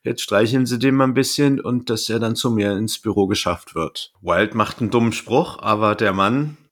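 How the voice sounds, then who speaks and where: A man's voice: lowish voice, moderate pace. A man casually retelling and commenting on a story in colloquial words as a podcast co-host.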